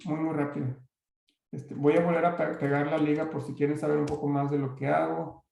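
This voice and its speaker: A man with a Mexican accent answers questions after his talk, pausing and restarting often. His mid-pitched voice is mellow with a gravelly edge. The recording carries a heavy room echo. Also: little pitch movement. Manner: hesitant, many restarts and fillers. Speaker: guest speaker.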